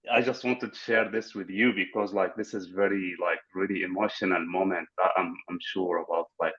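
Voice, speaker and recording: A man's voice: low voice, a bit bright. A man who grew up in Syria, speaking up as an audience member in an online seminar, talking informally with frequent "like" fillers. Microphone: video call. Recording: muffled video-call audio.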